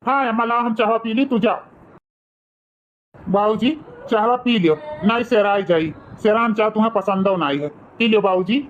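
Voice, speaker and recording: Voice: mid-range voice, moderate pace, highs cut off, lightly acted. A performer voicing a dutiful young village son in a cartoon, with an Awadhi accent and a coaxing manner.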